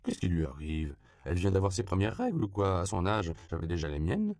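A man voicing a sharp-tongued adult mother who speaks bluntly and mockingly. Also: deep voice, wildly swooping pitch, brisk, clean tone, no echo, studio quality, full character voice.

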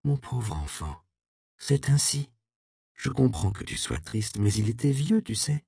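A man voicing a gentle, firm mother. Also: medium pitch, huge pitch swings, normal speed, creaky, no room sound, pitched above the performer's own voice, full character voice. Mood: soothing. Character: middle-aged mother, tender but firm cloth merchant.

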